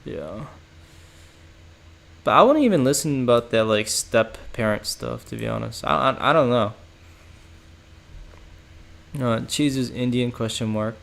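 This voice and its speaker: A man's voice: medium pitch, expressive, unhurried, vocal fry, pauses often. A young man streaming from home, chatting casually and rambling, full of "like" and "bro" and frequent laughs. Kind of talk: casual livestream chat reacting to chat.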